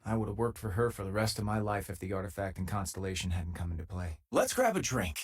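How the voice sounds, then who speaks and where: Loyal space game companion, ex-miner, husky low voice, easygoing, wry, widely varied intonation. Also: male voice, lively intonation, quick pace. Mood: friendly, reflective. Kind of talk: video game companion dialogue.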